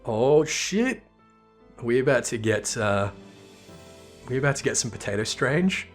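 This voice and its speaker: A man talks casually over a game soundtrack. His voice sits at a middle pitch, and he reacts out loud with an irreverent, sweary streak, like a let's player commenting as he goes. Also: expressive, a little slow. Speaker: let's player reading game dialogue aloud. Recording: music behind the voice. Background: game music.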